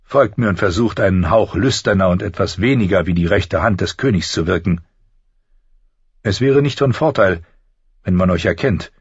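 A man's voice: deep voice, normal speed, husky. A man voicing a sly, smug small lord with a teasing, theatrical manner in an audiobook. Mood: mocking. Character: sly mocking small lord with pointed goatee, brothel owner. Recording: dull, roomy audiobook recording.

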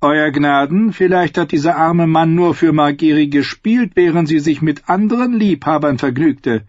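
A man voicing a sinister torturer lord in an audiobook, courteous and concerned with quiet menace. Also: on the high side, moderate pace, dry recording, lo-fi sound, pitched above the performer's own voice, lightly acted. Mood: smoothly menacing. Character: softly polite, sinister male torturer lord.

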